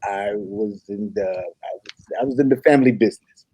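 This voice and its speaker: A man reading the part of an earnest young African prince who poses as a humble goat herder, speaking haltingly in a comedy table read. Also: fairly low pitch, mellow, natural voice. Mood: hesitant, modest. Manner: hesitant, halting delivery with repeated words.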